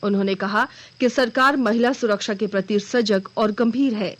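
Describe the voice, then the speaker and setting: A woman news anchor reading a scripted regional bulletin in a formal, measured manner. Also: medium pitch.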